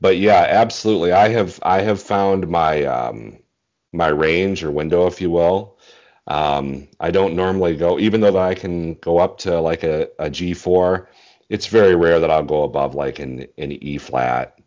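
A man in his forties with a remarkably deep voice talks casually as an interview guest. He thinks aloud in measured phrases. The sound is slightly muffled, like a home video call. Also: darkish tone. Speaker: interview guest, bass singer. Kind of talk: casual interview chat about singing technique. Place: small indoor room at home, video call. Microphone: earphones on a video call.

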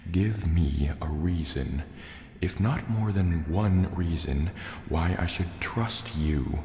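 A man voicing a large, menacing, distrustful sea serpent dragon in a slow, deliberate, soft-spoken ASMR roleplay. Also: deep voice. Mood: cold menace. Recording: echoey cave reverb, faint noise. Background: water and cave ambience.

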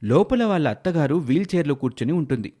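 A man's voice: on the high side, lively intonation, quick pace, warm tone. A man narrating a scripted moral story in a dramatic storytelling style.

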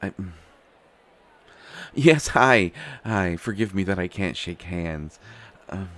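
A man's voice: low-pitched, animated, takes it slowly, a bit bright, heavily acted. A man playing an anxious, stammering young prince who is reluctant to become king, in a roleplay audio drama. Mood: flustered politeness. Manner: flustered, hesitant, stammering, sighing. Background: faint music.

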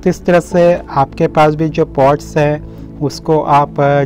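A man gives a casual, explanatory garden tour, addressing viewers in a friendly, direct way. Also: fairly high pitch. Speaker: host of a home gardening channel. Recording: slightly muffled audio with music underneath. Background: instrumental music.

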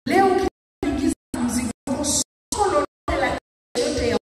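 A middle-aged woman with a Tanzanian accent, giving an animated public lecture on a handheld mic. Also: high-pitched, moderate pace, over loud music. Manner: animated, gestures while walking the stage. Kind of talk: public lecture mixing Swahili and English. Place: large hall with stage. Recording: echoey hall recorded from far off.

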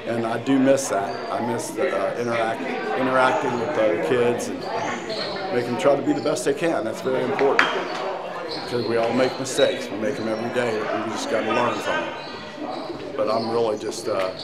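A man in his sixties, a retired coach and gym teacher, speaking in a heartfelt, halting way with repeats. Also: mid-range voice, steady tone. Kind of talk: emotional tribute speech and interview. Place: school gym hallway, indoors. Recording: noisy gym with loud crowd. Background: crowd noise and bouncing basketballs.

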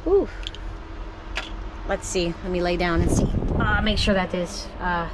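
A woman's voice: on the low side, a little slow, very noisy. Chatty upbeat woman vlogging in her van. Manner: relaxed, upbeat, chatty with many fillers. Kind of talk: casual vlog talk to camera. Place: inside a small camper van at night. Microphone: camera close up in the van.

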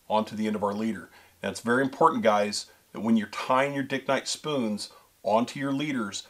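A middle-aged man giving a step-by-step how-to demonstration as a show co-host, talking straight to viewers. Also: medium pitch. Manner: step-by-step instructive, addresses viewers as folks and guys. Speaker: fishing pro staffer and co-host. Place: small studio set with backdrop.

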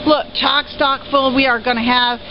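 A high-pitched woman chats enthusiastically as she walks a camera through a big antique warehouse, exclaiming over the pieces. The sound is dull and lo-fi. A loud air-conditioning hum runs underneath her voice. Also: steady tone, normal speed. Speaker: auction house staff giving a preview tour. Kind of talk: casual walkthrough narration. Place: large indoor antique auction warehouse. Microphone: handheld camera mic, speaker behind camera.

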